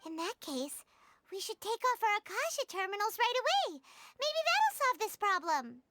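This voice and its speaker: A woman voicing a small, excitable, chirpy fairy companion in a video game, with a squeaky childlike voice. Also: normal speed, no room sound, heavily acted. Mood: earnest. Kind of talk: video game character acting.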